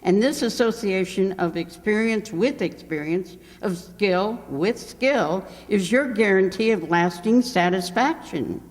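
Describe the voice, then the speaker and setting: A woman's voice: medium pitch, measured pace, few pauses, natural voice. A woman playing a proud, earnest radio announcer, reading promotional copy in a declamatory old-time style. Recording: reverberant hall stage performance.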